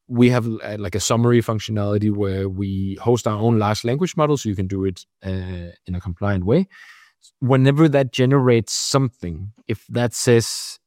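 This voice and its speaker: A man's voice: mid-range voice, a little mellow, no room sound, studio quality. A man with a Danish accent giving explanatory interview answers about his company, thinking aloud as he goes. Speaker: company representative.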